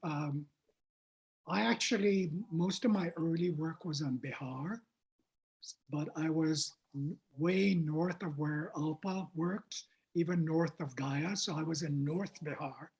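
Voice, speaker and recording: Higher-pitched man moderating a panel. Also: takes it slowly, creaky, a little mellow, low-quality recording. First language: Hindi. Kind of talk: panel discussion.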